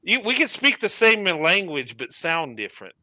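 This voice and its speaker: A man with a high, very lively voice and a bright, forceful tone, hosting a casual chat. He stammers as he starts sentences. The sound is dry and close. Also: moderate pace, no echo.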